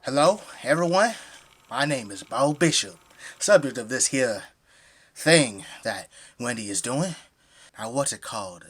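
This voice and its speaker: A man's voice: mid-range voice, animated, unhurried, a bit bright, slight background noise. An actor playing a friendly, folksy middle-aged family man with an American Southern accent in an audio drama. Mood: jovial, welcoming. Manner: effusive, folksy, hospitable.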